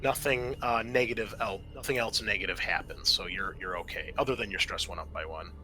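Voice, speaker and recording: A man's voice: deep voice, a little fast, sharp tone. A man acting as game master, casually explaining tabletop game rules. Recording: clear background noise.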